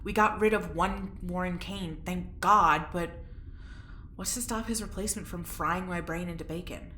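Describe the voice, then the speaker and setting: Bright, wry, uneasy woman captive acted by a woman. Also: fairly low pitch, normal speed, echoey room, a little hiss or hum. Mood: worried, sardonic. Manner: casual, skeptical, sardonic. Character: wry, anxious, plain-spoken female test subject. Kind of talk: naturalistic audio drama acting.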